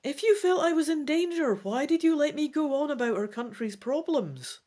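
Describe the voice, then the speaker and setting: A woman's voice: high-pitched, expressive, normal speed, mellow, pitched above the performer's own voice. Woman acting an earnest young apprentice wizard. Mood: questioning. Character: earnest young male apprentice magic user. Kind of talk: dramatic gamebook reading.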